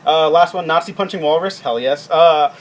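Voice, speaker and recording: A man's voice: on the high side, normal speed. A man co-hosting a casual panel chat, giving opinions with emphasis. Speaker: podcast co-host.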